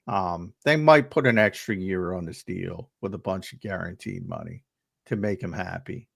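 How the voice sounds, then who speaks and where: Low gravelly man, hesitant analyst. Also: big pitch swings, moderate pace, fairly bright tone, dry recording, studio quality. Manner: hesitant, many fillers and restarts. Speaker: guest analyst. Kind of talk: casual sports talk.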